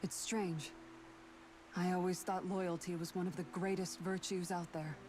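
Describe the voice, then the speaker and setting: A woman's voice: fairly low pitch, level tone, a little slow, darkish tone, a little hiss or hum, lightly acted. A woman voicing an earnest, reflective young warrior. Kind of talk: video game voice acting. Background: game music.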